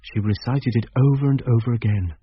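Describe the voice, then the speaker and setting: An actor playing an observant, grave narrator with a British accent, telling a mystery in a radio drama. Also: male voice, medium pitch, brisk, slightly rough. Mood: eerie, intent. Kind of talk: scripted first-person radio drama narration. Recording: muffled radio recording under music.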